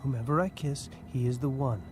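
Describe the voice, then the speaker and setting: A man's voice: medium pitch, fairly quick, noisy background, natural voice. A man playing a treacherous disciple in a dramatized audio Bible, with a conspiratorial, theatrical manner. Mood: scheming.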